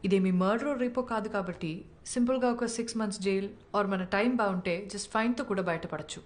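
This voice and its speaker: An actress playing a sharp, blunt young woman lawyer who talks briskly and scolds freely. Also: medium pitch, lightly acted. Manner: brisk, scolding, mixing English into Telugu. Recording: film soundtrack with clear music behind.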